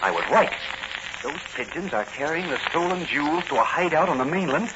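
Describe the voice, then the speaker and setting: An actor playing a bold, quick-thinking masked crime fighter in 1940s radio serial style, brisk, theatrical and commanding. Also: male voice, on the high side, animated, close to an everyday voice. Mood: intent, excited. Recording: muffled, very noisy old radio recording.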